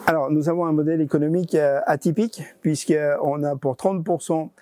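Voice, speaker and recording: A man's voice: on the high side, steady tone, fairly quick, dark tone, a little hiss or hum. A man giving explanatory interview answers, hesitating and often repeating his words as he goes. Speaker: interviewee, regional deputy director of a nonprofit.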